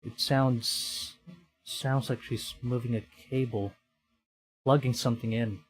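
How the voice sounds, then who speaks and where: A man's voice: medium pitch, fairly even intonation, slow. Man streamer dramatically reading horror fiction. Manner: acts out the dialogue dramatically. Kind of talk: reading a horror visual novel aloud with live reactions.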